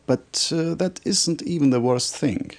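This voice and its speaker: An actor playing a stern, formal military commander who briefs an officer in a measured, authoritative way for a video game cutscene. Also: male voice, on the high side. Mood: stern, urgent. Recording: compressed old game video audio.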